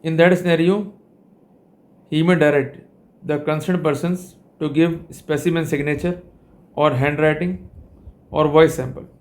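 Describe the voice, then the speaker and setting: A man with an Indian accent giving an explanatory legal lecture in a deliberate, formal way, often repeating his connecting phrases. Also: on the high side, fairly even intonation, darkish tone.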